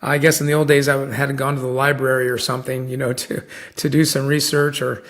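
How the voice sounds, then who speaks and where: A man's voice: medium pitch, flat delivery, a little fast, fairly bright tone. A man hosting a podcast interview, chatting casually and rambling with lots of fillers and restarts. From United States. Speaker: podcast host.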